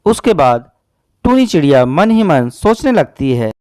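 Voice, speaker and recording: A man's voice: a little high, sing-song, unhurried, mellow, no room sound, clean studio sound. A man narrating a scripted children's cartoon story.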